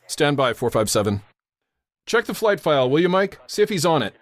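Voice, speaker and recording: An actor playing a brisk, steady airport tower controller under pressure, in a radio drama style. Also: male voice, a little high. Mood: calm, probing.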